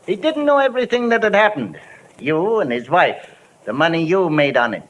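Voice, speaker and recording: A man's voice: fairly high pitch, big pitch swings, normal speed, a bit bright. A man with an American accent playing a shrewd, shady private detective in an old radio crime drama, blunt and sly. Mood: cool, bargaining. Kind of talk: 1940s-50s radio detective acting. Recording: noisy old radio broadcast recording.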